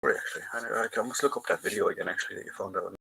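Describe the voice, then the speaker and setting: A man's voice: fairly low pitch, rapid-fire, creaky, bright, forceful tone, slightly rough. An Irish man hosting a live chat, casually reading out and answering viewer comments, trailing off and restarting.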